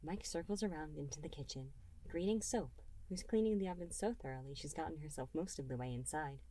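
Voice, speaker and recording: A woman reading a fan fiction story aloud as an audiobook narrator, in an expressive storytelling manner. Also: sits low, fairly quick, rough recording. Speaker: reader and writer of the story.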